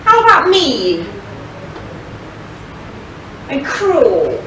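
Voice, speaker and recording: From far off in a very echoey hall, a woman plays a bold pantomime outlaw hero. The delivery is theatrical and teasing, high and full of swoops. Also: huge pitch swings, drawn-out words, fairly bright tone, exaggerated voice. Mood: bold, taunting. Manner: theatrical, heroic, declamatory. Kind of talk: stage pantomime acting. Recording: very echoey hall, distant mic, clear noise.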